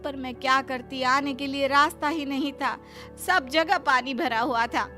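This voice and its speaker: A woman voicing a meek, poor village housemaid in an animated moral story, deferential and pleading. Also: sits high, unhurried, soft background music, voice pushed up.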